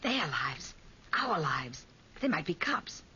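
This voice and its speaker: A woman's voice: low voice, very animated, slow, bright, forceful tone, full character voice. An actress playing a wary, hard-edged female accomplice in crime, in 1940s radio acting style. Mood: wary, anxious. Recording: muffled, hissy 1940s radio recording.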